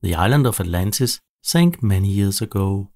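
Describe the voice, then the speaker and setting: A man's voice: lowish voice, animated, normal speed, a little mellow, natural voice. A man voicing a young cartoon-animal child in a storybook, earnest and plaintive. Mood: explaining.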